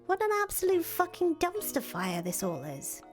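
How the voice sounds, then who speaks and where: A woman's voice: very high-pitched, wildly swooping pitch, normal speed, full character voice. A woman voicing a patient, loyal young woman in a visual novel, reassuring and casually sweary. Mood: surprised, dismayed. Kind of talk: voiced reading of visual novel dialogue. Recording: music playing under the voice.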